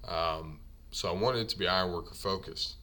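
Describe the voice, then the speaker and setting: A man who is a native English speaker teaches ironworker trade vocabulary from slides, saying each term several times slowly for learners before explaining it. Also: deep voice, bright, forceful tone. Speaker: ironworker union instructor. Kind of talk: slide-based vocabulary lesson.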